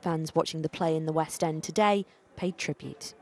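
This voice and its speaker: A woman's voice: low-pitched, fairly even intonation, moderate pace, background noise. A British woman news reporter voicing a scripted report.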